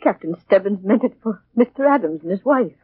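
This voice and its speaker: An actress playing a devoted, deferential, easily upset housekeeper in a 1940s radio thriller. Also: female voice, medium pitch, animated, fairly quick, slightly rough, dry recording. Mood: distressed. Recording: muffled, narrowband 1940s radio recording.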